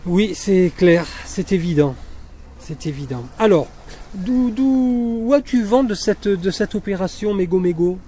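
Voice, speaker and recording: A man's voice: high-pitched, lively intonation, a little slow, warm tone, a little hiss or hum. A man from the south of France hosting a web magazine interview outdoors, chatty and hesitant with many fillers. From Fréjus, southern France. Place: outdoors at a beach cleanup.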